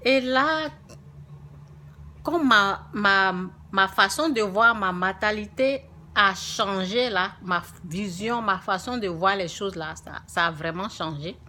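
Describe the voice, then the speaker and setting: A woman's voice: medium pitch, takes it slowly, sharp tone, a little hiss or hum. A woman from Africa with a francophone African accent, giving an informal spiritual talk to viewers and repeating phrases emphatically. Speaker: religious vlogger.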